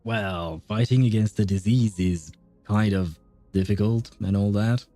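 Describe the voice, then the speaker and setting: A man's voice: lowish voice, a little slow, mellow, faint music behind. A man hosting a game livestream, giving casual, rambling commentary as he thinks aloud. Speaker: livestream host.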